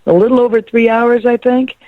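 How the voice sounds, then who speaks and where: A musician guest talking in a rambling, laugh-filled way during a radio interview. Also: male voice, extremely high pitch, fairly even intonation, brisk, no room sound, phone-quality sound. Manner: rambling, laughs often, warm storytelling. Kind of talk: interview chat.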